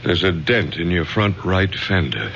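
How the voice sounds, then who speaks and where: A man's voice: sits low, very animated, unhurried, vocal fry, fairly bright tone, heavily acted. A man playing a tired, testy, controlling middle-aged father in a radio drama. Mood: urgent, controlling. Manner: stammering, then clipped and commanding. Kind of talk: 1970s radio drama acting. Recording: dull, slightly noisy old radio recording.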